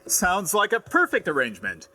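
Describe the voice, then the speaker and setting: A man's voice: sits high, huge pitch swings, moderate pace, bright, forceful tone, slight background noise, full character voice. A man playing a polite, eager young adventurer in a video game, courteous and slightly hesitant.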